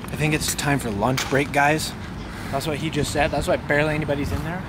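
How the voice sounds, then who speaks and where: A man's voice: a little high, fairly even intonation, a little fast. A young man chatting casually to a vlog camera outdoors. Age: young adult. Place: outdoors on a campus path. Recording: loud outdoor ambience and traffic noise.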